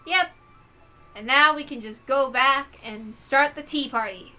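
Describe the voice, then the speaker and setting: Bright, high-voiced woman reading aloud. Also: a little slow, faint music behind. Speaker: reader and host. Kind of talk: expressive read-aloud of a visual novel with casual asides.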